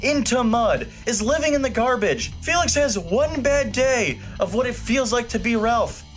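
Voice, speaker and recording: A man's voice: very high voice, expressive, moderate pace, soft background music. A man delivering scripted film review commentary in an opinionated, casually irreverent way. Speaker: film review show host.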